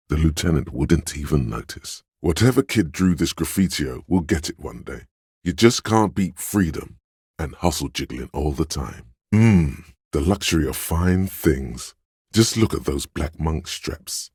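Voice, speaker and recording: An actor voicing a suave, theatrical inner voice in a video game, narrating in a measured, wry way with a gravelly, husky tone. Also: male voice, very deep voice, dry recording, studio quality, exaggerated voice. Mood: indulgent, grand. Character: suave, theatrical inner voice of a detective's flair and agility. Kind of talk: scripted second-person game narration.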